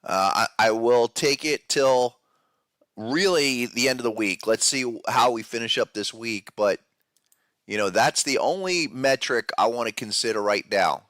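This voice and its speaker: A man hosting a live podcast about trading charts, talking in a casual, loose way with a head cold that deepens his voice. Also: a little slow, fairly bright tone, no echo, studio quality. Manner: casual, loose, with fillers and restarts. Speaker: podcast host. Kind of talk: live-stream market analysis chat.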